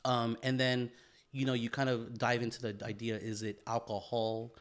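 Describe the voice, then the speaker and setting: Male podcast co-host, mid-pitched, rambling and self-deprecating, filler-heavy. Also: monotone, moderate pace. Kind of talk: casual podcast chat.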